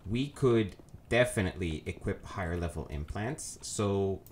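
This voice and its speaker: Man with a cold, nasal, low voice, hosting a casual let's play with loose, rambling delivery over a faint noise floor. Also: lively intonation, a little slow, darkish tone. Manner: rambling, many ums and self-corrections. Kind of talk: casual gameplay commentary.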